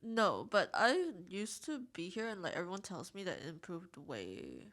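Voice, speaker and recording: A woman's voice: lowish voice, big pitch swings, normal speed, a bit bright. A woman from Malaysia, a podcast guest, chatting casually and easily, often laughing and agreeing briefly. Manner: easygoing, laughs often, short agreeing replies. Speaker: guest, street interviewer. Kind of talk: casual interview chat.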